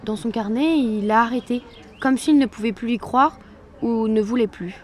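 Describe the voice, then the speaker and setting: A woman's voice: on the high side, moderate pace, a little mellow, close to an everyday voice. An actress playing a thoughtful young granddaughter in natural audio drama dialogue, with faint ambience around her. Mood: pensive.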